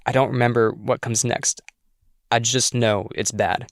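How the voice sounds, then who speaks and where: A man's voice: medium pitch, fairly even intonation, measured pace, no echo, studio quality, close to an everyday voice. Man playing a sullen, troubled teenage boy ghost. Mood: weary, afraid. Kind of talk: screenplay table read acting.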